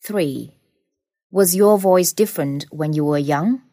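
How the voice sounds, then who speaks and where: A woman reading out speaking-test questions as an examiner in a plain, measured way. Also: on the low side, warm tone, no echo, studio quality.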